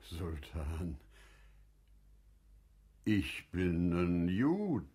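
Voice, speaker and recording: A man playing a shrewd wise merchant in a classical radio drama, measured, careful and deferential. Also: sits low, sing-song, dark tone, slightly rough, exaggerated voice. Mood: measured, cautious. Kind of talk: classical stage verse radio drama acting.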